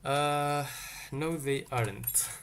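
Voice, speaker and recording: A man's voice: mid-range voice, very slow, a bit bright, clear, resonant tone. A man from Tunisia, teaching a live-streamed coding lesson. He thinks aloud and answers chat questions as they come in. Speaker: livestream tech teacher.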